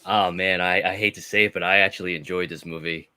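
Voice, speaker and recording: A man's voice: sits low, fairly even intonation, fast, pushed and bright, clean tone, dry recording, clean studio sound. A man sharing opinions as a panelist in a casual movie discussion. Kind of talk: casual chat, giving opinions.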